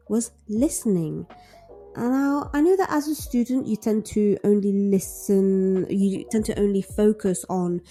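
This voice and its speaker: A woman in her thirties with a British English accent, a native French speaker, teaches English to camera at an unhurried pace. Her voice is warm and mellow, and she presses her main points by saying them twice. Soft music plays faintly behind her. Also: mid-range voice. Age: 30s. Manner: earnest, repeats key phrases for emphasis. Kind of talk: encouraging direct-to-camera lesson.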